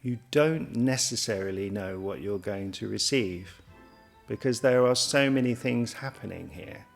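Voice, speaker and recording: Low, gravelly man, deliberate delivery. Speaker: college staff member. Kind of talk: measured promotional interview. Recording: music behind the voice. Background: background music.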